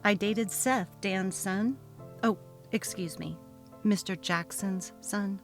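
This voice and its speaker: She is a mild, courteous office employee played by a woman narrator, guarded around a bullying boss. The delivery is plain-spoken and unhurried in a dramatic story reading, over music. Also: medium pitch.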